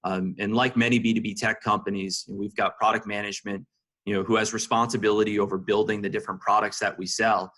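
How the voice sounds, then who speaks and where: A man's voice: lowish voice, flat delivery, fast, pushed and bright. A man answering interview questions conversationally as a senior executive, peppering his sentences with "you know".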